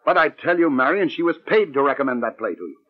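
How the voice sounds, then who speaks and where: An actor playing a scheming, menacing husband in a 1940s radio drama, speaking in an insinuating, emphatic way. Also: male voice, fairly high pitch, expressive, fast, natural voice. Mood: accusing. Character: scheming, menacing husband and would-be playwright, smooth then impatient. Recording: muffled, band-limited old radio recording.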